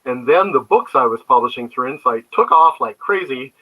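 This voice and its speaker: An older man talks as a guest in a relaxed interview. His voice is bright and sits in a middle pitch. He wanders through anecdotes in a conversational way. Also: moderate pace, husky, rough recording. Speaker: guest, comics creator. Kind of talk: casual interview storytelling.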